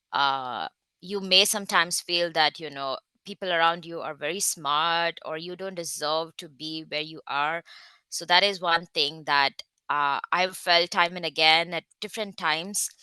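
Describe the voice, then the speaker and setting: Low, crisp-voiced Indian woman presenting. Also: bright, forceful tone, dry recording. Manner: careful, with frequent hesitant fillers. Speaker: guest speaker, research scientist. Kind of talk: online presentation with slides.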